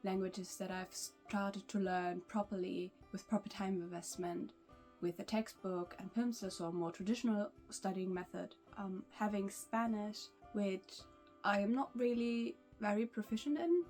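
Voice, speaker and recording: A young woman, German by first language, chats in English to her camera in a loose, rambling way, often restarting her sentences and laughing softly. Her pitch sits in the middle of the range. Gentle music plays faintly underneath. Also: fairly even intonation, measured pace. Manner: chatty, with frequent restarts and small laughs. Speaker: language-learning vlogger. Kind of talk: casual vlog talk to camera.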